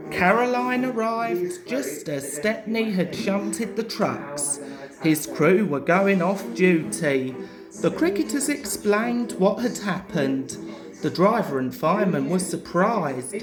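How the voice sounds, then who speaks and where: A woman reading a children's story as an expressive narrator. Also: medium pitch. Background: music.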